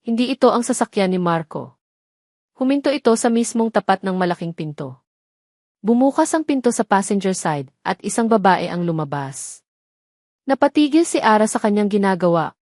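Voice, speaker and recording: A woman's voice: mid-range voice, a little mellow, no echo, clean studio sound. A woman storyteller giving a dramatic scripted narration of a romance story.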